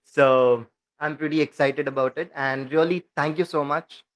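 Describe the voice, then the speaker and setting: A man's voice: a little high, fairly even intonation, moderate pace. Polite, formal man hosting a podcast. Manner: polite, formal, grateful, with fillers. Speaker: podcast host.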